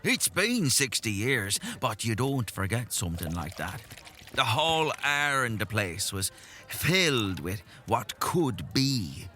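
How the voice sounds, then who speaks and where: An actor playing an old village storyteller in a fantasy audio drama, insistent and folksy, with old-fashioned turns of phrase. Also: male voice, medium pitch, very animated, slow, bright, forceful tone, heavily acted. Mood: earnest, awed.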